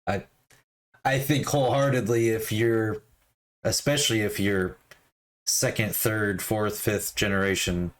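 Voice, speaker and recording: A man's voice: fairly low pitch, fairly even intonation, measured pace. A man co-hosting a casual discussion podcast, talking loosely with many restarts and some laughter. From United States. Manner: chatty, laughing, lots of restarts. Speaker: co-host.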